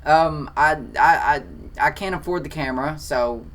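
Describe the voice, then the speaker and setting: Teen photography vlogger, Kentucky country accent, chatty and excitable, talking from a home office. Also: male voice, on the high side, moderate pace. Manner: excitable, chatty, defensive then joking. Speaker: photography channel host. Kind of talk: casual Q&A reading comments.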